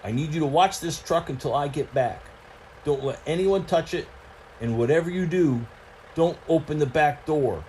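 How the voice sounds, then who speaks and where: A man's voice: on the high side, normal speed, darkish tone, a little hiss or hum, voice pushed up, close to an everyday voice. A man voicing a formal, secretive male hauler truck who speaks in a clipped, stern way.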